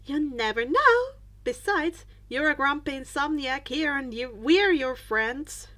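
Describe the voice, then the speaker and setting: Woman playing a playful teasing friend, high-voiced. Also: expressive, measured pace, bright, forceful tone, exaggerated voice. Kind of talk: fan fiction audiobook character voice.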